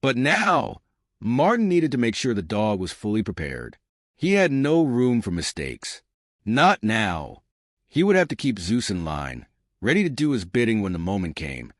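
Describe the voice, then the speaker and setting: A man's voice: lowish voice, wildly swooping pitch, measured pace, dry recording, clean studio sound. A man reading scripted suspense fiction as an audiobook narrator.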